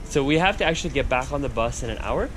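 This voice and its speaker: A man's voice: medium pitch, animated, fast talker. A young man from Nepal chatting casually to his camera outdoors while he travels, upbeat, with many fillers. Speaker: travel vlogger. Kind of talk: casual vlog narration. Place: outdoors in a city. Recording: loud outdoor street noise.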